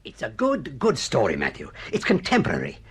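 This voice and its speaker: An actor in a radio drama playing a middle-aged film producer, husky, shrewd and persuasive, with clipped, emphatic sales patter. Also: male voice, medium pitch, huge pitch swings, a little fast, a little hiss or hum. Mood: musing, enthused.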